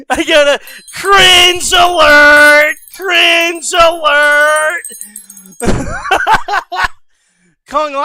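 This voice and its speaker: High-pitched guy streaming and reacting, swears nonstop, cracks up and yells a lot, talks kinda slow, dry close sound. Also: no echo. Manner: swears constantly, breaks into loud laughter and shouts. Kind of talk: crude casual reaction commentary.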